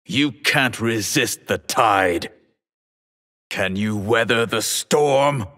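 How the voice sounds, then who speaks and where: An actor playing a proud, ambitious sorcerer warrior in a fighting video game, haughty and theatrical, with a husky voice. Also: male voice, fairly low pitch, drawn-out words, sharp tone, dry recording, clean studio sound, exaggerated voice. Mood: menacing, triumphant. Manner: haughty, imperious, theatrical delivery. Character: proud, ambitious male sorcerer warrior who commands water.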